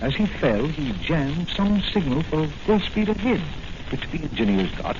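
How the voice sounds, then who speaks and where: An actor playing a kindly, fretful older doctor and confidant in a 1940s radio serial, speaking in a fussy, hesitant way. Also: male voice, fairly high pitch, big pitch swings, moderate pace. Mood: curious, concerned. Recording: noisy, muffled old radio serial recording.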